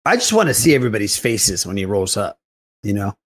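Man with animated, swinging pitch. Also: quick pace, slightly rough. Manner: reactive, bantering. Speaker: podcast host. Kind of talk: casual chat, moderating.

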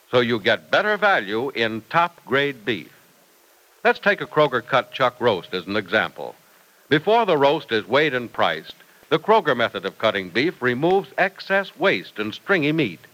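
A man's voice: mid-range voice, sharp tone, rough recording. A man announcing in old-time radio style, reading a script with emphatic, polished salesmanship.

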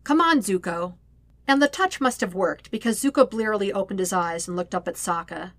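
A woman's voice: medium pitch, lively intonation, brisk, dry recording. A woman reading scripted fiction aloud as a narrator, expressively and conversationally, with wry emphasis. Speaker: podfic narrator.

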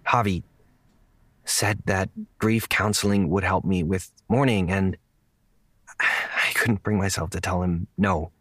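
A man playing an earnest, apologetic young man who speaks haltingly in an audio drama. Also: on the low side, a bit bright, husky, dry recording.

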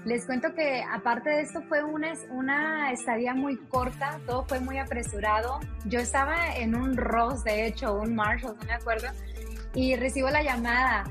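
A woman's voice: high-pitched, moderate pace, soft background music. A young Mexican woman, a guest on a talk show, telling a story in a chatty way and acting out what people said to her. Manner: storytelling, animated with quoted voices. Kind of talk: casual interview chat.

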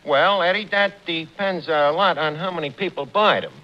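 A man's voice: on the high side, normal speed, close to an everyday voice. An actor playing a wisecracking, slangy tavern manager who mangles his words in a New York accent, in 1940s radio comedy style. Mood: wry. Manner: slangy, wisecracking, full of malapropisms. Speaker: tavern manager, lead comic. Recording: dull, noisy 1940s radio recording.

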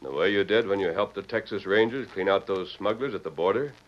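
A male actor plays a calm, measured Western hero with a very low voice. He is American and self-assured, in classic radio drama style. The recording is dull and dated. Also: close to an everyday voice. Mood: firm, persuasive. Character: calm, steady Western hero posing as Easterner. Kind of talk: old-time radio Western acting.

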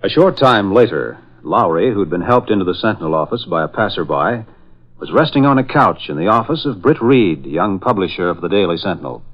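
A man's voice: low voice, lively intonation, brisk. A man reading scripted narration as the narrator of a 1940s radio drama. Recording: muffled old radio recording.

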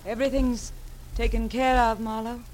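An American actress playing a strained, brittle woman murderer in a 1940s radio drama, with halting, melodramatic phrasing. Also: a little high, flat delivery, natural voice. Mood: brittle, resigned. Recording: noisy vintage radio recording.

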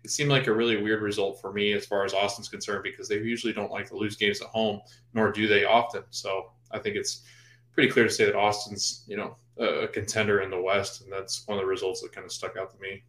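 A man talking through soccer calls on a podcast, looping back and restarting his thoughts as he goes. His voice sits fairly low, and the room around him rings with a noticeable echo. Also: little pitch movement, fast, fairly bright tone. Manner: rambling, full of fillers and restarts. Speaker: podcast co-host. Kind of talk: casual sports chat. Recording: roomy echo.

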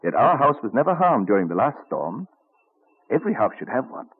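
A man's voice: medium pitch, very animated, a little fast, husky. A man playing a genial, scholarly old statesman and inventor in an old-time radio drama, musing fondly and savouring his words. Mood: cheerful excitement. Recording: muffled vintage radio recording.

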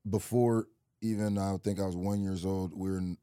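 Laid-back young man with a low, mellow voice. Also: normal speed, smooth, dry recording, studio quality. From Nebraska, USA, early childhood in Nigeria. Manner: relaxed, rambling, many likes and restarts. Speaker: guest, rapper and reaction YouTuber. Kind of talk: casual interview chat.

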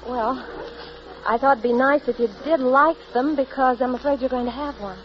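An actress playing a tender, wistful young American wife, sentimental and earnest, in 1940s radio drama. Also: female voice, high voice, moderate pace, lightly acted. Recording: muffled, noisy old radio broadcast.

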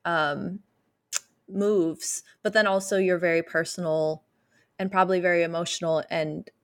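A woman's voice: on the low side, fairly even intonation, unhurried. A young adult woman interviewing a scholar, asking long, thoughtful questions full of hedges. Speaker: podcast interviewer.

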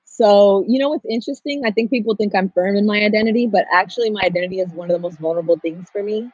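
A woman's voice: medium pitch, fast talker, a little mellow, no room sound, highs cut off. A woman, candidly and reflectively telling her own story in casual talk. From Pasadena, California, United States. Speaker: podcast guest, storyteller and activist.

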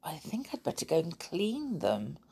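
Woman playing a cheeky boy, lively and earnest. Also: low voice, moderate pace, gravelly, breathy edge, exaggerated voice. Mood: mock-serious. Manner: earnest-sounding, protesting. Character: excitable, cheeky young boy, mock-serious.

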